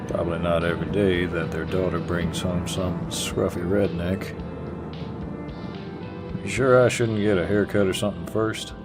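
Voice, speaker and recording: A man acting out an easygoing Southern country boyfriend for an intimate audio roleplay. His voice is very low and he takes his time, drawling gallant, teasing, folksy lines straight to the listener. Music plays clearly behind him. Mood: amused, bashful. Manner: slow, gentlemanly drawl with folksy phrasing.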